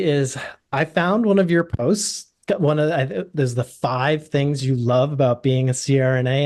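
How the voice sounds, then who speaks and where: A man hosts a relaxed interview and asks his questions in a loose, easygoing way. His voice sits a little high for a man, and he often pauses and restarts. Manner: easygoing, many ums and restarts. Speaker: podcast host, financial planner. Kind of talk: conversational interview.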